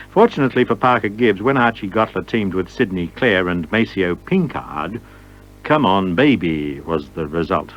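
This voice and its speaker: A man presenting vintage records on radio in a playful, wry, semi-scripted commentary, on a dull recording. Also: mid-range voice.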